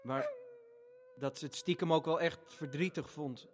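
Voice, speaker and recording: An actor playing an eager, tender little brother, telling a fairy tale in a boyish, theatrical way for children. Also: male voice, fairly high pitch, moderate pace, lightly acted. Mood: soft, tender.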